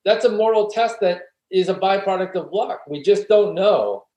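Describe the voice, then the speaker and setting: A man's voice: sits high, moderate pace, mellow. A man, a philosophy guest, earnestly explaining his argument step by step in an interview. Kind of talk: conversational interview, reflective argument. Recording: roomy echo.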